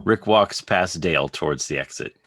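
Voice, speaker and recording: A man's voice: deep voice, moderate pace, fairly bright tone, husky, no room sound, clean studio sound. A man narrating scripted stage directions for a drama reading.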